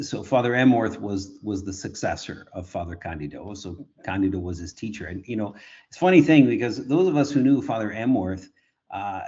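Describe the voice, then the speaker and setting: A Canadian priest with a fairly low voice answers interview questions, often repeating words and stopping on uh while he thinks. His audio is dull and lo-fi, as if sent from a remote setup. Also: a little mellow. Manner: repeats words, many uh fillers. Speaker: priest and exorcist, guest.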